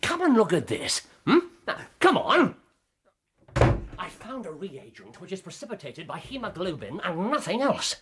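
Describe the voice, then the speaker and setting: An actor playing a brilliant, exuberant Victorian English scientist detective in a British radio drama. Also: male voice, high voice, sing-song, measured pace, noisy background. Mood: eager. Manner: exuberant, theatrical, eager.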